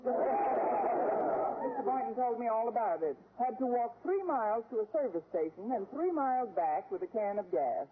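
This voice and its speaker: Through loud noise and a thin vintage radio band comes a sardonic woman schoolteacher. Her delivery is deadpan yet swings widely in pitch, with the dry timing of golden-age radio comedy. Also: normal speed. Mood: dry, sarcastic. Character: wry, sarcastic single woman schoolteacher with dry wisecracks. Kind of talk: 1940s-50s radio sitcom acting and narration. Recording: noisy, tinny vintage radio broadcast recording.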